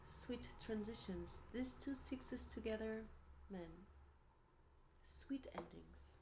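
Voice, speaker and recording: A woman with a Portuguese accent, gentle and encouraging, giving a tarot reading with soft asides and self-corrections. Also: fairly high pitch, takes it slowly, vocal fry, background noise. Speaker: tarot reader.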